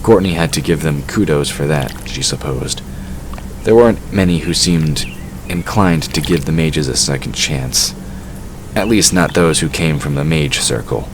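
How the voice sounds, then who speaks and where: Male narrator for fantasy audio drama: exceptionally low voice, scripted storytelling, clear ambient noise behind. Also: unhurried.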